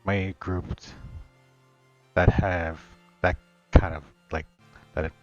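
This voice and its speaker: A man playing a troubled, emotionally confused father in improvised online roleplay, speaking solemnly and haltingly and repeating words. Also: sits low, level tone. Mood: subdued, earnest. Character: troubled, emotionally confused older father, long away from family. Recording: dull lo-fi audio, music behind.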